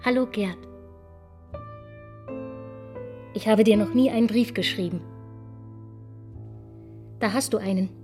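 Woman playing dreamy young woman, restless, musing, medium pitch, music behind, radio play. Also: moderate pace, a little mellow, lightly acted. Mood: wry, intimate. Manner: musing, conversational. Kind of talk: radio drama monologue and dialogue.

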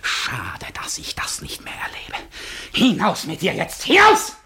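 An actor playing a tormented, erratic man in a radio play, husky and theatrical, moving between whispers and outcries. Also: fairly high pitch, huge pitch swings, unhurried, sharp tone, heavily acted. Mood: bitter, accusing. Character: feverish, tormented man, erratic and accusing, unraveling. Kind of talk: German radio play acting.

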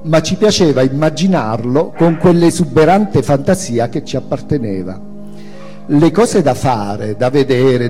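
Slow, expressive male storyteller in a reverberant hall. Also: on the high side. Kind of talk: theatrical reading of an autobiographical narrative. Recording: long hall reverb, music behind. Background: music.

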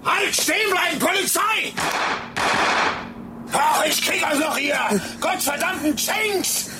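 An actor playing an enraged policeman on a chase, shouting furiously in a radio crime drama over music. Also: extremely high pitch, slow pace. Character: enraged male cop chasing suspects, shouting abuse.

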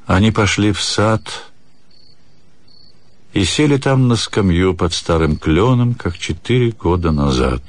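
A man narrating a literary story for radio in a measured, pensive manner. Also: sits low, expressive.

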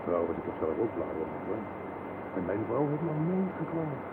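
An actor playing a smug, jovially callous army general in a radio play, patronising and cajoling. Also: male voice, lowish voice, big pitch swings, normal speed. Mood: pompous, dismissive. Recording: noisy, tinny 1970s radio play recording.

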